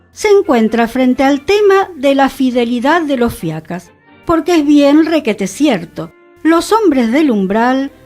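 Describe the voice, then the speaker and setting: A woman with a Buenos Aires accent giving an expressive literary reading, theatrical and wry, with dramatic pauses. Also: sits high. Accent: Argentine, Buenos Aires. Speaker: reader.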